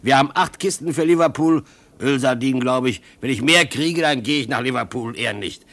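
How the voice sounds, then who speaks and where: A man plays a callous ship's captain in a radio drama. The voice is of medium pitch. The delivery is brusque and officious, that of someone with no patience for complaints. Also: brisk, fairly bright tone, natural voice. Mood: coolly dismissive. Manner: curt, bureaucratic, brusque. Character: callous, shifty, impatient male ship's captain. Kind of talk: German radio play acting.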